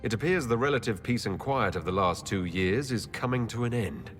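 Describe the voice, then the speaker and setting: A composed elven Inquisitor from a fantasy video game, played by a man. He speaks low and measured, like a leader weighing things up. Loud music plays behind him and the space rings with long reverb. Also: close to an everyday voice. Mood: composed, concerned. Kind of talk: video game dialogue acting.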